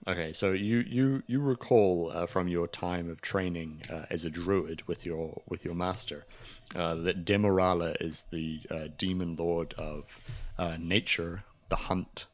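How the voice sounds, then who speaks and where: A man narrating as game master in a halting way, full of fillers and restarts. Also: low voice, fairly even intonation, muffled recording.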